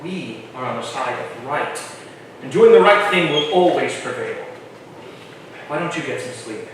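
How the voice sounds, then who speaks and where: An actor playing a principled, stubborn colonial record keeper in a staged drama, speaking formally and earnestly. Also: male voice, medium pitch, expressive, unhurried, a bit bright, slight background noise. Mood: earnest resolve. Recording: very echoey hall, far from mic.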